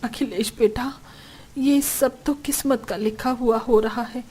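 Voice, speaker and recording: A woman's voice: a little high, fairly even intonation, measured pace, mellow, husky. A woman voicing a poor, weary, lamenting family woman in a melodramatic Hindi cartoon story. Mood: resigned. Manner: plaintive, melodramatic.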